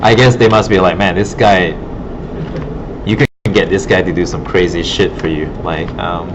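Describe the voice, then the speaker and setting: A man with a low voice gives informal career advice in a rambling, encouraging way, often checking that he is followed. The recording is muffled, with obvious noise behind him in a classroom. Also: fairly even intonation, moderate pace. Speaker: art instructor and former art director. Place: classroom at an art academy. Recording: muffled audio with clear background noise.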